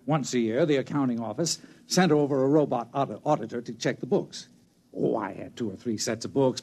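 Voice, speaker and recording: An actor playing a shaky, rambling old man in a 1950s radio drama. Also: medium pitch. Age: older. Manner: indignant, rambling, chummy. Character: shaky old male failed category inventor.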